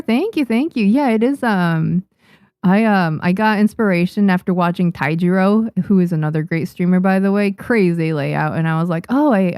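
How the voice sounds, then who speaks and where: Gravelly, low-voiced woman streamer. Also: dark tone, dry recording, clean studio sound. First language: Japanese. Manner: chatty, reads and answers chat, frequent restarts and fillers. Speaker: streamer and manga artist. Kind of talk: casual live-stream chat with viewers.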